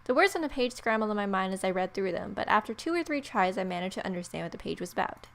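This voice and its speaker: A woman's voice: medium pitch, lively intonation, fast talker, creaky, fairly bright tone. A woman hosting a let's play, reading game narration expressively and adding playful, wry casual asides. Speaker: gamer, video host.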